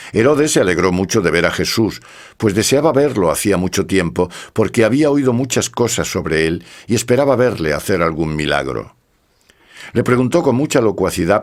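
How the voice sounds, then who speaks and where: A man narrating scripture from a script in a solemn, measured manner. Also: low voice.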